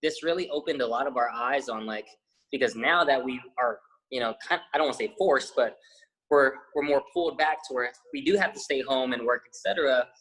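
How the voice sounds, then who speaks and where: Husky-voiced young man, loose and rambling. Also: medium pitch, a little fast, rough recording. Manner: loose, rambling, many fillers and restarts; says he has a loud voice. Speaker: fitness trainer, guest. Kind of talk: casual interview chat.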